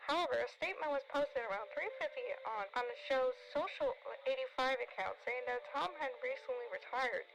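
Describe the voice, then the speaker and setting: A woman's voice: high voice, fairly quick, creaky, noisy background. A woman playing a somber radio news reporter, reading a formal scripted bulletin for an amateur horror series.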